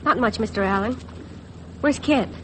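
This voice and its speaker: An actress playing an insecure, wistful young American bride in a 1950s radio drama. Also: female voice, on the high side, normal speed, close to an everyday voice. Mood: subdued. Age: young adult. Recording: faintly noisy vintage radio recording.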